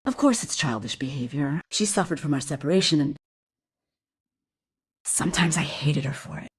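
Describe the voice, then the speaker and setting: A cold, embittered mother in a crime radio play. Her voice is deep for a woman and husky, recorded dry and close. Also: lively intonation, moderate pace, dry recording, heavily acted. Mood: bitter confession. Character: cold, bitter mother, a falcon keeper under suspicion. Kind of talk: crime audio drama dialogue.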